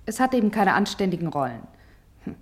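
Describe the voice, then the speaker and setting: A woman's voice: mid-range voice, big pitch swings, a little fast, lightly acted. A woman playing a proud, disdainful stage actress in a German radio play, speaking in a dry, haughty way. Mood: reproachful, proud.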